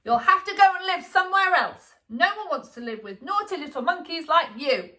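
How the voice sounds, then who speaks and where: Woman doing a high-voiced little boy, sheepish and cheeky. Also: animated, normal speed, bright, forceful tone, full character voice. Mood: scolding. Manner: hesitant, stammering protests. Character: little boy Alfie, sheepish, protesting, cheeky young son.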